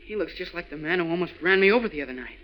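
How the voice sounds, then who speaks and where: A man's voice: sits high, lively intonation, rapid-fire, highs cut off. A man acting an eager, polite young boy athlete in a 1940s radio serial. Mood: alarmed.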